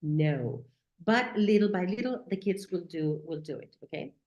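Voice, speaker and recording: A woman's voice: on the low side, animated, normal speed, darkish tone. A woman whose first language is Spanish, speaking English with a Spanish accent as she gives a talk on teaching, often checking in with "right?" and "okay?". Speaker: teacher trainer. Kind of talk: educational talk. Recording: echoey room.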